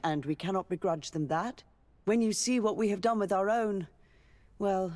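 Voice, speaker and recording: An actress playing a learned, diplomatic noblewoman governor in a video game, speaking in a measured, formal way. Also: mid-range voice, a little mellow, natural voice. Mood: fascinated, respectful. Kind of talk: scripted video game dialogue.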